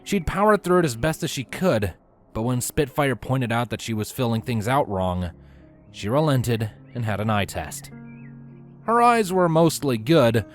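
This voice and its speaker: A man reads a story aloud as an expressive narrator, with a mid-pitched voice. His delivery is animated and theatrical, leaning into key words for emphasis. Faint music plays behind him. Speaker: audiobook-style narrator of fan fiction.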